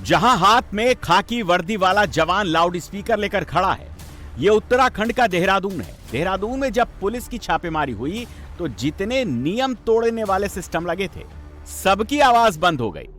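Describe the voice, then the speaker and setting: A man's voice: sits high, big pitch swings, moderate pace, a bit bright. A man presenting scripted TV news narration in an emphatic, sensational, dramatic way. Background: background music.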